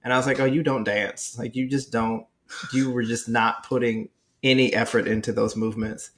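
A man's voice: mid-range voice, steady tone, normal speed, fairly bright tone. A young man with a nasal voice co-hosting a casual podcast chat, self-deprecating and full of restarts. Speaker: podcast co-host.

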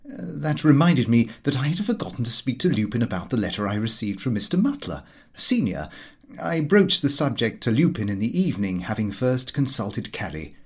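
A man reading a comic diary aloud as expressive audiobook narration. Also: medium pitch, brisk, slightly rough. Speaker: audiobook reader.

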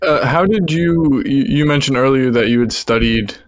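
A man's voice: mid-range voice, moderate pace, dry recording, studio quality. A man hosting a podcast, asking curious and slightly hesitant questions with fillers. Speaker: podcast host. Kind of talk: interviewing a guest.

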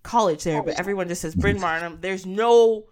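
A woman's voice: lowish voice, a little mellow. A woman hosting a casual podcast chat, bubbly and talkative, laughing easily and filling her talk with "like.". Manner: bubbly and chatty, quick with laughter and many "like" fillers. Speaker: podcast host.

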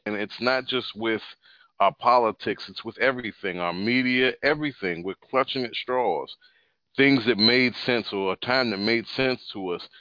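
Male co-host, mid pitch, earnest and reflective, dry dull recording. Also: normal speed, dry recording. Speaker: podcast co-host. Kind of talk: casual podcast chat. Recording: dull lo-fi sound.

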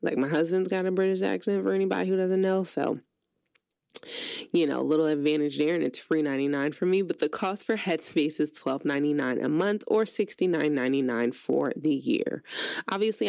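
A woman with a low voice hosts a radio talk show and works through her notes in a relaxed, chatty way. She breaks off from the reading to add casual asides with plenty of "um"s. The sound is dry and close, with no room in it. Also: vocal fry, no room sound. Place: radio studio.

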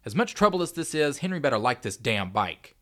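A man's voice: on the low side, brisk, a bit bright. A man voicing a grumbling, wisecracking husband and father in a casual, joking way for a story.

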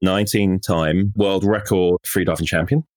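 A man's voice: low voice, steady tone, moderate pace, a little mellow, no room sound, clean studio sound. A man co-hosting a podcast, chatting casually and teasing his co-host.

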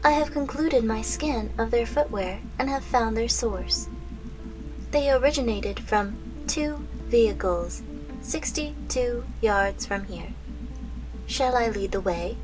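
A polite artificial intelligence played by a woman speaks in stilted, formal sentences at a slow, deliberate pace. The literal-minded female machine has a fairly high voice, set in an audio drama over clear background music. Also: rough recording. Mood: emotionless, obedient. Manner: stilted, formal, robotic phrasing. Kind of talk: scripted audio drama acting.

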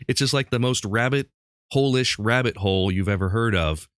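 A man's voice: medium pitch, normal speed, no room sound, studio quality. A man chatting casually as the host of a quiz show, with an informal, self-deprecating joking manner.

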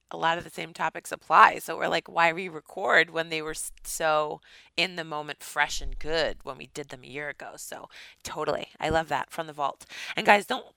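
A woman co-hosting a casual podcast chat, her voice slightly scratchy and tired, talking in a chatty, animated way. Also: deep voice, gravelly, dry recording. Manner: chatty, animated, quick laughs. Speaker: podcast co-host.

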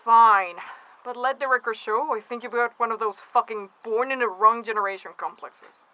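A woman's voice: on the high side, moderate pace, phone-quality sound, natural voice. A woman playing an irritable, dramatic 19-year-old young man in an audio drama, talking in a snappy, whiny way and swearing. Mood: annoyed. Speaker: friend on a road trip.

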